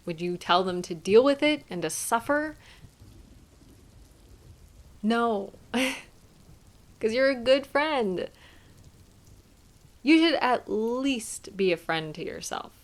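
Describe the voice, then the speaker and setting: A doting, affectionate girlfriend acted by a woman speaks in an intimate audio roleplay. Her voice sits a little high and moves very slowly. She is soothing and softly playful as she gently coaxes her partner. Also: expressive. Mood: gentle coaxing. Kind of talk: intimate roleplay audio, one-sided conversation.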